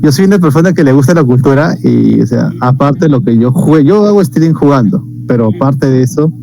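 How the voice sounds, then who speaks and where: A man's voice: medium pitch, lively intonation, fairly quick, creaky, a crowd in the background. A man from Peru, chatting thoughtfully and explaining cultural topics to a stranger on a video call. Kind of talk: casual video chat.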